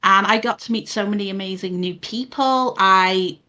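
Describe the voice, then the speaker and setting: A Welsh woman in her early thirties talks freely as an interview guest, drifting from thought to thought and restarting her sentences. Her voice is bright and soothing. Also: medium pitch, normal speed. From Wales. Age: 30s. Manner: chatty, rambling, many fillers and restarts. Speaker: guest, VTuber. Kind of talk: casual interview chat. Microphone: boom mic.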